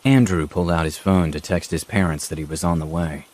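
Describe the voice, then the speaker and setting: Low-voiced man narrating horror stories. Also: fairly quick, a little mellow. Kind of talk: scripted horror story narration.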